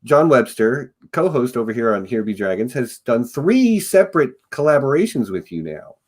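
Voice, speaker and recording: A man's voice: mid-range voice, big pitch swings, normal speed. A man hosting a podcast, talking over a video call in a friendly, upbeat way. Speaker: podcast host. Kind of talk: casual interview chat.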